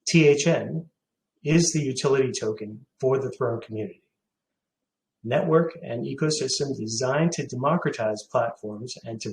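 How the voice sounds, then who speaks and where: A man in his forties from Hong Kong, answering questions as a company CEO on a video call, pausing with many filler sounds. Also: mid-range voice, echoey room, a little hiss or hum, poor audio. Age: 40s.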